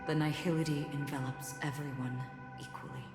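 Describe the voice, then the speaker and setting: Actress as a low-voiced solemn wanderer woman, music behind. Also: steady tone, natural voice. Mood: solemn, melancholy. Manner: measured, quiet, philosophical. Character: solemn, enigmatic woman wanderer, calm and melancholy. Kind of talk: video game dramatic voice acting. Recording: roomy echo under loud music. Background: loud game music.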